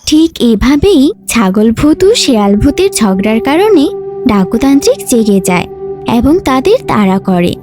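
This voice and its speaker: An actress plays a storytelling mother with a high, very melodic voice. She narrates a children's tale in an instructive, expressive way, over music. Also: moderate pace. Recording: music under the voice.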